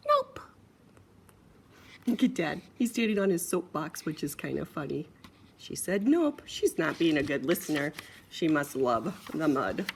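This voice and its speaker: A woman's voice: deep voice, normal speed, gravelly, a little mellow, a little hiss or hum. A woman reading a children's picture book aloud in a playful, sing-song way, with chatty asides. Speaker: storyteller.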